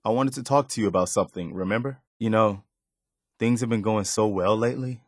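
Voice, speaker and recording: An actor playing an earnest, loyal, plain-spoken militia man in a video game, sincere and measured. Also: on the low side, no room sound, studio quality, natural voice. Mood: hesitant, vulnerable. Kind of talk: naturalistic video game dialogue.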